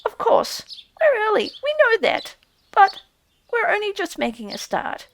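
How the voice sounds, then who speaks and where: A tiny storybook field mouse, pitched very high and swooping. It is fussy and busy, stiffly polite and always a little flustered, over some background noise. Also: female voice, wildly swooping pitch, exaggerated voice. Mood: shamefaced, explaining. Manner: hurried, stiff, shamefaced. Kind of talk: audiobook character voice.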